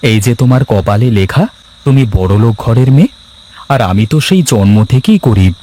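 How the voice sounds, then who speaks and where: A man voicing a poor, gentle farmer husband in a Bengali cartoon fairy tale, speaking earnestly and soothingly with a Bangladeshi accent. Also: mid-range voice, sing-song, a little fast, lightly acted. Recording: background music under the voice.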